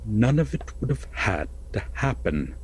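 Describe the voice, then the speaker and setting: A man playing an obsessive, lovesick captor prince in an audio roleplay, telling a dramatic bedtime fairytale in a halting, hushed, breathy voice. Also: on the low side, very animated, a little hiss or hum. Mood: anguished justifying. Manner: halting, hushed, wistful, with heavy pauses.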